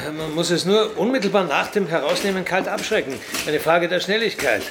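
An actor playing a curious, wry puppeteer's apprentice in a radio drama, conversational and questioning. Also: male voice, sits high, a little fast, background noise. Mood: polite, wry.

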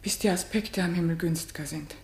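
An actress playing a proud, patient, wronged queen in a classical radio play, speaking in measured, solemn declamation. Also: fairly low pitch, darkish tone, reverberant, natural voice. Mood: proud, pained. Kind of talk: classical German radio play stage acting.

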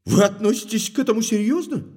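A man's voice: sits high, fast. An actor playing a hot-tempered, indignant baronet in an audio drama, emphatic and agitated in his speech. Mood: calmer, puzzled. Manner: agitated, emphatic, courteous when calming.

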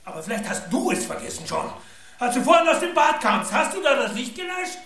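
A man's voice: very high-pitched, very animated, fairly quick, fairly bright tone, reverberant, heavily acted. A man playing a flustered man bickering about a light left on, in a radio drama clip. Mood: agitated.

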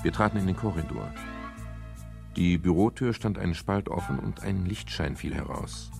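Radio thriller lead: pensive, sober detective, low-pitched, gravelly, measured delivery. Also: male voice, over music. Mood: sober, tense. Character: pensive, matter-of-fact private detective narrating his case. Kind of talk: scripted first-person radio crime narration.

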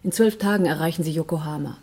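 A woman with a dark, husky voice acting a crook's lover in a German radio drama. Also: low voice, fast talker. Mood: matter-of-fact. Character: female partner of a crook, questioning her lover.

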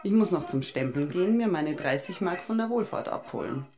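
Schauspielerin als schroffe, tiefere bayerische Frauenstimme. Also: female voice, on the low side, animated, a little fast, a little mellow, close to an everyday voice. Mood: impatient, bitter. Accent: Bavarian. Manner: mocking, blunt, impatient. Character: brusque, hard-up working-class Bavarian woman, sharp-tongued, nagging. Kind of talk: radio play dialogue.

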